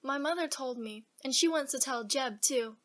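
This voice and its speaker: A woman's voice: sits high, moderate pace, close to an everyday voice. A female performer playing an earnest, plucky little country girl in a radio drama, with plain, folksy childlike talk. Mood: eager, reassuring.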